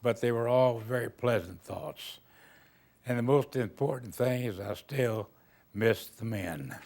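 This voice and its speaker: A man's voice: medium pitch, unhurried, vocal fry, a little mellow. An older man from Texas, a retired band director, telling rambling, folksy stories in an interview. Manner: rambling, folksy storytelling with chuckles. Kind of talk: reminiscing interview answers.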